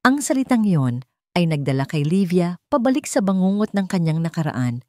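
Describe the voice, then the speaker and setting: A woman's voice: lowish voice, very animated, moderate pace, a little mellow, no room sound, studio quality. A woman storyteller giving a scripted, dramatic narration of an emotional tale.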